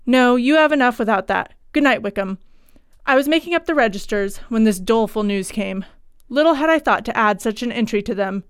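Woman voicing a brisk, formal country rector. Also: on the high side, expressive, clean tone, dry recording, clean studio sound, close to an everyday voice. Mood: brisk, impatient. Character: brisk, authoritative learned male country rector.

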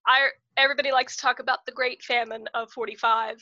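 Brief: woman podcast host, chatty and giggly, high bright voice, dry close sound. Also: steady tone, quick pace, no room sound, muffled recording. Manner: chatty, laughs often, many fillers. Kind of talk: casual interview chat.

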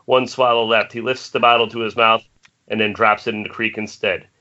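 A man's voice: on the low side, level tone, brisk, sharp tone. A man reading stage directions as scripted narration at an amateur table read over an internet call. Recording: dull lo-fi Skype call, faint noise.

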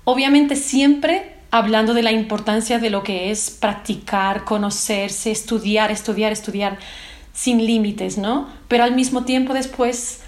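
Portuguese singer in an echoey room. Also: female voice, on the high side, steady tone, measured pace. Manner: thoughtful, searching for words. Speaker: singer and guest. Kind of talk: reflective interview answers.

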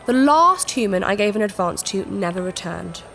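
A woman's voice: mid-range voice, expressive, normal speed, creaky, a bit bright. A woman playing a scheming, haughty alien princess in a sci-fi audio drama, speaking in formal, dramatic phrases. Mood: cool, bargaining.

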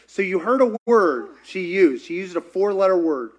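A man's voice: sits high, sing-song, moderate pace, darkish tone, rough recording. A man, a pastor, teaching in a sermon style, repeating lines for emphasis and questioning the audience.

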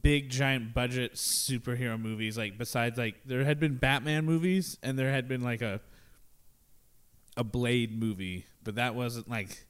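A man's voice: medium pitch, fairly even intonation, measured pace, clean tone. A man co-hosting a podcast at home, chatting casually and rambling with many fillers, close to a shared handheld mic. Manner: rambling, lots of fillers and restarts. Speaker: podcast co-host. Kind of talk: casual chat. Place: at home with a cat. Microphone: shared handheld mic held close.

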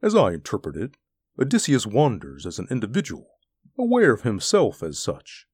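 A man voicing a huge, gentle alien beast in a story, speaking in an articulate, formal, pensive way. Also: on the low side, wildly swooping pitch, moderate pace, husky, dry recording, clean studio sound, full character voice. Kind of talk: storybook character acting.

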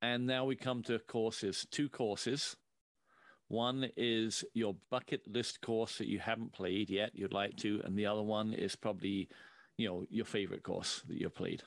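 A man hosting a laid-back chat, posing questions slowly and evenly. His voice sits on the low side and sounds dry and close, with no room around it. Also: level tone, vocal fry, dry recording. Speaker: podcast co-host. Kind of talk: casual interview questions.

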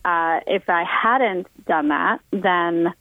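Chatty woman guest, low voice, heard over a thin phone line. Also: slow pace, no room sound. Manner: chatty, frequent ums and restarts. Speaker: guest singer. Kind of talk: casual interview chat. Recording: tinny phone-line audio.

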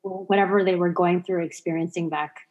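A woman's voice: on the low side, steady tone, normal speed, darkish tone, lo-fi sound. A woman in her forties from Zambia, a podcast guest telling her family story earnestly and with casual fillers. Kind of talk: personal storytelling in an interview. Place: remote podcast recording.

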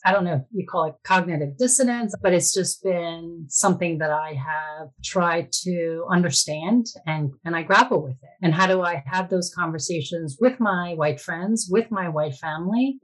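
A woman speaks in a somewhat low register. She is measured and thoughtful, reflecting on her own experience as a guest would in an interview. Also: low-quality recording. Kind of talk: reflective personal talk.

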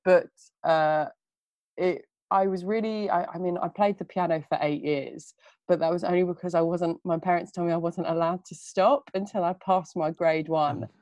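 A woman's voice: lowish voice, steady tone, moderate pace, darkish tone, no echo, lo-fi sound. A young woman chatting casually on a podcast, bubbly and self-mocking, often laughing at herself.

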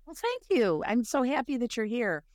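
A woman hosting a podcast, hoarse from illness, talking with enthusiasm. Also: a little high, very animated, moderate pace, darkish tone, dry recording, studio quality. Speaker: podcast host. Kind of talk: casual interview chat.

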